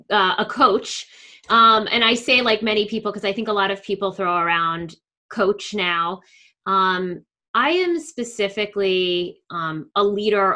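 A middle-aged woman with a New York area accent, speaking earnestly as an interview guest and pausing often with "um". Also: medium pitch, pushed and bright. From northern New Jersey. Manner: frequent ums, thoughtful and earnest. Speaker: coach, guest. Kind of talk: conversational interview.

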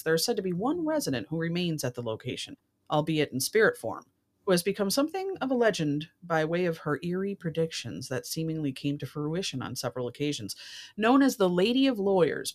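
A woman hosting a casual talk show, reading out odd news stories and dropping in informal asides. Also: on the low side.

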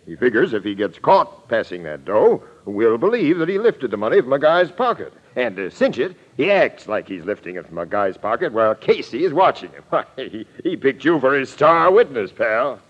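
A man's voice: medium pitch, big pitch swings, moderate pace, hardly any pauses, breathy edge. A man playing a shrewd, skeptical American police captain, reasoning in slang in old-time radio drama style. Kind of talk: 1940s radio acting. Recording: muffled reverberant old radio broadcast.

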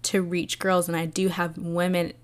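A young woman hosting her own podcast, talking alone in a soft, soothing and earnest way, with many fillers. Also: lowish voice, level tone, moderate pace. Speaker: podcast host. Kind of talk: reflective solo podcast talk.

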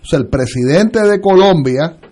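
Halting man, slightly high voice. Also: animated, mellow. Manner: hesitant, many filler sounds and restarts. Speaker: lawyer and panel guest. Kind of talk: political radio panel discussion.

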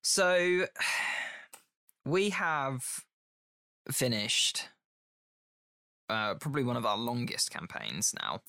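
A man hosting a casual podcast chat, friendly and talkative, his voice a little croaky because he is unwell. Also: medium pitch, big pitch swings, drawn-out words, pauses often, pushed and bright, no room sound. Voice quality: slightly croaky. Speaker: podcast host.

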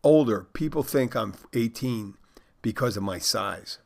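A man's voice: mid-range voice, lively intonation, measured pace, gravelly. A man voicing a naive fourteen-year-old Polish expat boy, reading out stilted, overly formal text messages. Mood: earnest deadpan.

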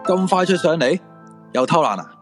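A man's voice: fairly high pitch, animated, normal speed, music in the background, lightly acted. A man with a Hong Kong Cantonese accent playing a bossy, flirtatious swimming coach in a radio drama. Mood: teasing, scolding.

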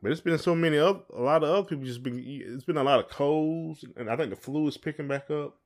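A man's voice: fairly high pitch, fast. A man co-hosting a podcast in casual chat, rambling and repeating phrases as he thinks aloud. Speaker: podcast co-host.

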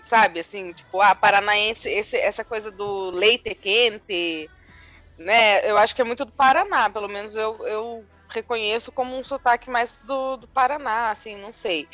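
A woman's voice: mid-range voice, normal speed, fairly bright tone, soft background music. A woman from the Sorocaba area with a rolled interior São Paulo R, chatting on a podcast in a thoughtful, hesitant way. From Votorantim, São Paulo state. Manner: thoughtful, hesitant, many fillers. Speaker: podcast co-host. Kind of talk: casual chat.

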